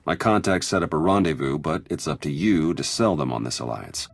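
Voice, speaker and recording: A man's voice: extremely low pitch, animated, a little fast, gravelly, husky, no echo, full character voice. An actor playing a sly male spy with a dry, wry, confiding manner, in a video game.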